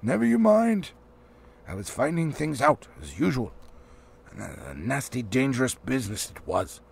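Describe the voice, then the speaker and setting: Man voicing a stern, emphatic old wizard, gravelly. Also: medium pitch, big pitch swings, normal speed, full character voice. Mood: grim. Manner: emphatic, grim. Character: stern old male wizard, authoritative and testy. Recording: faint background noise.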